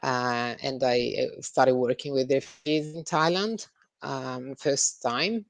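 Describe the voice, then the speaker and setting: Lady psychologist guest, low voice, lots of ums, dry mic. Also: slow, gravelly, dry recording. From Italy. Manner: hesitant, many ums and uhs. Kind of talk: interview answers.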